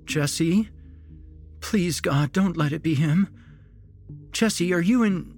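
A man's voice: on the high side, sing-song, very slow, darkish tone, husky, faint music behind, voice pushed up, heavily acted. A man voicing an anguished, guilt-ridden middle-aged mother in an audiobook, blubbering and tearful. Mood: distraught.

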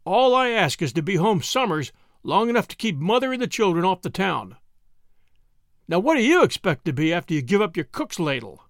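A man playing an earnest, steady young soldier. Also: high-pitched, brisk, no room sound, studio quality, pitched above the performer's own voice. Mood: stern. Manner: firm, earnest.

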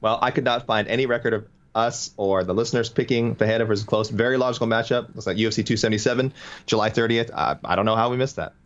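Low-voiced man on a muffled podcast. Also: fairly even intonation, quick pace. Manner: chatty, playful, reads listener messages aloud. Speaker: podcast co-host. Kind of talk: casual chat.

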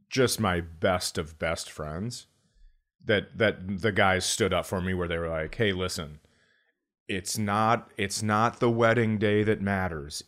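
A middle-aged man with a low-pitched voice, talking like a comedian on a podcast. He spins joking stories in a casual, deadpan way.

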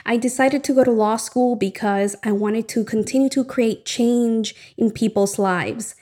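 Mellow-voiced woman from Ecuador. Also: fairly high pitch, measured pace. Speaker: attorney, podcast guest. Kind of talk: self-introduction.

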